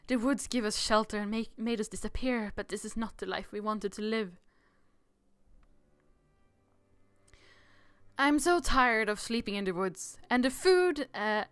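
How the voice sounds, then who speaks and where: Woman reading as earnest fairy-tale royal, higher voice. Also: a bit bright, smooth, dry recording, natural voice. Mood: weary, pleading. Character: earnest fairy-tale characters read from a visual novel. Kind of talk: dramatic read-aloud of visual novel dialogue.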